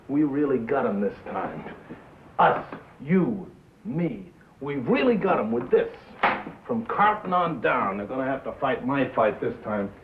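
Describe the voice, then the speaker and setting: An actor playing a brash, boozy, bitter radio executive in a 1950s film drama, talking in glib, slangy, back-slapping patter. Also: male voice, mid-range voice, lightly acted. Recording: tinny, noisy 1950s film soundtrack.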